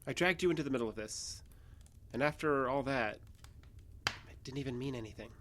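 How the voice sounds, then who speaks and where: An actress playing a guarded, evasive wounded character who hides the truth with hesitant speech in an audio drama. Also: female voice, extremely low pitch, a little fast. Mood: evasive, apologetic. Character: guarded, evasive wounded alien passing as human. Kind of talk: naturalistic audio drama acting.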